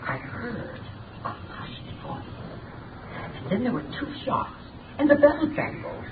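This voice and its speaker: A woman's voice: fairly high pitch, very animated, slow pace, narrow, tinny audio. A woman acting a nervous, respectful housekeeper who speaks hesitantly in a 1930s radio drama. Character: deferential, nervous female housekeeper. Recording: distant, echoey, scratchy 1930s radio.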